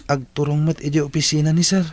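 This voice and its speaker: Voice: mid-range voice, little pitch movement, quick pace, natural voice. An actor with an Ilocano accent playing a dutiful, worried young working student in a radio drama.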